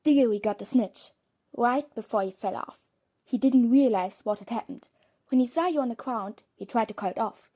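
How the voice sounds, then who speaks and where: Subdued teen student, played by a woman: highish, mellow, plain and reluctant delivery for audio drama. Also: normal speed, natural voice. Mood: subdued, resigned. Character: subdued teenage student breaking bad news gently.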